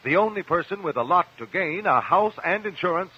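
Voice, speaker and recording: Pushy american defense lawyer, old radio drama, swingy pitch, bit husky, dull old broadcast sound. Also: male voice, expressive, brisk. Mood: arguing. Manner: articulate, pressing, courtroom formality. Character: sharp, persistent male defense lawyer in a courtroom.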